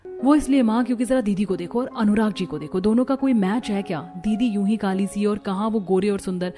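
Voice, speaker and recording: A woman's voice: mid-range voice, a little fast, warm tone, soft background music, lightly acted. A woman playing a vain, scheming, selfish young bride in a cartoon family melodrama. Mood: sly persuasion.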